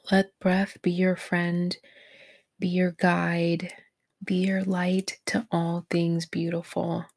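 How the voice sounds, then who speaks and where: A woman leading a guided meditation in a soft, breathy, near-whispered voice, gentle and encouraging toward the listener. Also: fairly low pitch. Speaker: meditation guide, podcast host.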